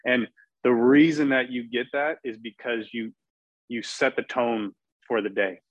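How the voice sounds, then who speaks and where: A man's voice: medium pitch, fairly even intonation, a little slow, dry recording. A man speaking as a performance coach on a discussion panel, talking emphatically. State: losing his voice. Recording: dull, dry lo-fi audio.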